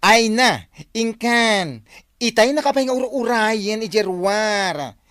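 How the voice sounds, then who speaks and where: A woman's voice: fairly high pitch, expressive, takes it slowly, fairly bright tone, dry recording, studio quality. A woman playing a busy office boss handing out errands in an Ilocano radio drama, with a Filipino Ilocano accent. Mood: coaxing.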